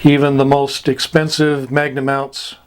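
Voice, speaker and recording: Slow-talking man giving a lesson. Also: mid-range voice, level tone, smooth. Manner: deliberate, halting, with long pauses. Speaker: instructor. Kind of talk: informal explanatory lesson.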